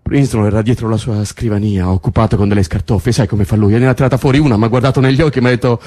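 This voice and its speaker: A man's voice: on the low side, fairly even intonation, very fast, warm tone, close to an everyday voice. An actor as an agitated, stammering male prisoner. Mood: hushed, suspenseful. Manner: breathless, stammering, repeating himself, emotional storyteller. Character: agitated male political prisoner, stunned by news of release. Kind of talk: naturalistic stage drama acting.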